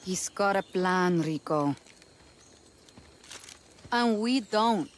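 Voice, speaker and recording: Game role: practical female resistance ally, decisive and businesslike, on the lower side. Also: lively intonation, extremely slow, a little hiss or hum. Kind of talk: video game cutscene acting.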